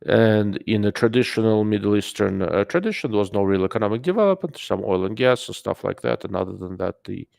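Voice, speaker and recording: Accented male livestream host, low gravelly voice, dry close sound, rambling off-the-cuff delivery. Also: animated, fairly quick, few pauses, darkish tone, dry recording. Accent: non-native English. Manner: rambling, filler-heavy, greets chat mid-sentence. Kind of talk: casual live-stream commentary.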